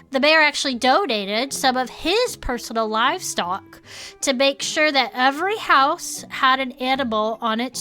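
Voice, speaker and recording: An actress playing an earnest small-town cryptid club member in an audio drama, telling local monster lore in a folksy, suspenseful way. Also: female voice, a little high, bright, forceful tone. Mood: earnest, explanatory. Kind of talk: conversational audio fiction storytelling.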